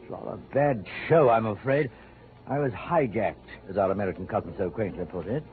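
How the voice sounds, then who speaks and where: An actor playing a dapper, evasive upper-class English swindler in a radio drama, effusive and affected. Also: male voice, lowish voice, brisk, natural voice. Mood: breezy. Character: dapper, gushing, evasive British gentleman swindler. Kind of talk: 1950s radio drama acting. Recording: muffled old radio recording.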